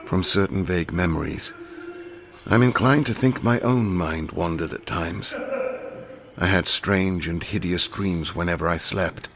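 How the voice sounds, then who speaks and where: A man with a very deep, low voice reads a classic novel aloud. His delivery is measured and deliberate, with a grave, serious tone. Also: fairly bright tone. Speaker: audiobook reader. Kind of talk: scripted narration of a classic novel.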